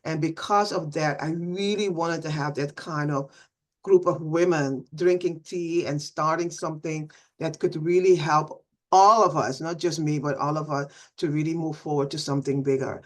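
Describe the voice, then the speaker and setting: Middle-aged woman, soft and reflective, laughs a lot, video call sound. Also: low-pitched. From Suriname. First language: Dutch. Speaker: webinar participant. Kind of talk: personal sharing on a video call.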